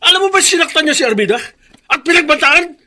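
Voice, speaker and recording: A man's voice: very high-pitched, animated, fast, sharp tone, full character voice. A man playing an enraged, vicious villain in a Filipino radio drama.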